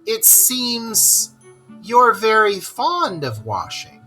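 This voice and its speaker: A woman acts out a big, hearty barge woman from a children's storybook. The voice is pitched high and bright. The delivery is chatty and courteous, with lively intonation. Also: very slow, faint music behind, heavily acted, low-quality recording. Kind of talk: children's storybook read-aloud.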